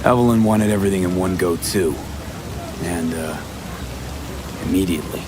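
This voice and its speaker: A man's voice: low-pitched, moderate pace, background noise, lightly acted. A man playing a laid-back, wry game mercenary who talks in a dry, teasing, understated way. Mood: firm. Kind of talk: video game dialogue. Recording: music behind the voice.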